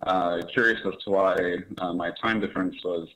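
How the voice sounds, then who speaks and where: Deep male presenter from Canada on a webcam call, talking through slides with many fillers. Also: steady tone, moderate pace, sharp tone, poor audio. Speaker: academic presenter. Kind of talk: research presentation over video call. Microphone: webcam on video call.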